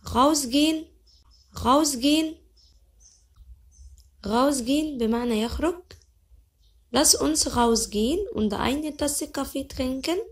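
A young woman with an Egyptian Arabic accent teaching vocabulary. She says each word slowly several times, then explains it quickly in Arabic. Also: high voice. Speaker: language teacher. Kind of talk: vocabulary lesson.

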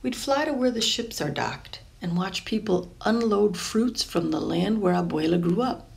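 Female storybook reader, medium pitch with noticeable fry, careful and expressive delivery for young listeners. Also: normal speed. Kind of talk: reading a children's picture book aloud.

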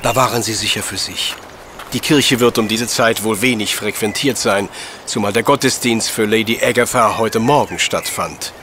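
An actor playing an unflappable, pedantic professor detective in a German audio drama, speaking precisely and condescendingly. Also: male voice, on the low side, normal speed, bright, forceful tone, a little hiss or hum. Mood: politely probing.

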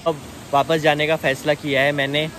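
Young man, casual travel vlogger, playful teasing, fairly high voice, phone mic outdoors, loud stream noise behind. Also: level tone, measured pace. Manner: teasing, playful joking with friends. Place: outdoors on a hillside trail by a stream.

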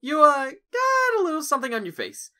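A man playing an awkward, polite and observant young man in an animated audio drama, hesitant and nervous. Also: very high-pitched, very noisy, full character voice. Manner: hesitant, deliberate, narrates his thoughts. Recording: loud music over the voice.

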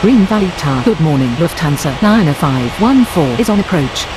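A woman's voice: deep voice, lively intonation, fairly quick, over music, low-quality recording. A woman playing a businesslike pilot and air traffic controller in a game, using formal, clipped aviation phraseology. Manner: formal, procedural. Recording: game audio under loud engine roar.

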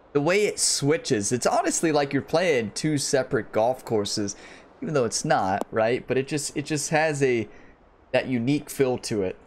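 A man's voice: mid-range voice, slight background noise. A man in his thirties hosting a gaming channel, chatting casually and enthusiastically to viewers while playing golf in a home gaming room on a headset mic. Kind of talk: casual gameplay commentary.

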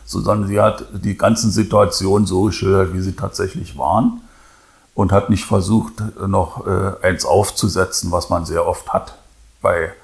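A lawyer in his sixties gives a spontaneous interview in a low voice full of heavy fry. He speaks haltingly, with frequent hesitations, on a lapel mic in an office. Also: fairly even intonation. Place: office with file shelves. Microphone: lapel microphone.

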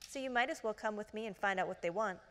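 A woman reading the lines of a pale, calm young woman messenger in an even voice. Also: mid-range voice, fast, natural voice. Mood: ominous. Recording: echoey hall reverb.

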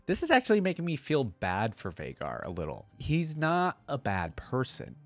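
A man's voice: mid-range voice, huge pitch swings, moderate pace, dry recording. A young man reading a story aloud and adding casual commentary, close to a desk microphone. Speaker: reaction host. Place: indoor room at a desk. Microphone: close desk microphone on a boom arm.

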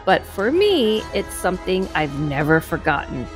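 A woman's voice: on the low side, very animated, faint music behind. A woman in her thirties reading a paranormal story aloud, with casual asides, close to the mic in a dim room. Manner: slow, measured reading with asides. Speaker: host reading a guest's story. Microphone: headset and studio microphone close up.

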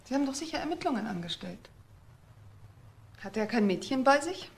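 A composed ex-wife in a German crime radio play. Her voice sits fairly high, and she speaks with curt, bitter detachment. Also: very animated, fairly quick, creaky, slight background noise. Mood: detached, bitter. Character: cool, bitter divorced wife of murdered man.

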